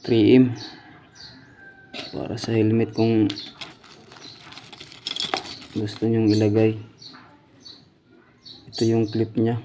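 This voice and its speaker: Flat-toned Filipino man, noisy vlog recording. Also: fairly low pitch. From Philippines. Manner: halting, searching for words. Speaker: DIY and motovlog host. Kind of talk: casual unboxing vlog, showing items. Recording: clearly noisy home-made vlog audio.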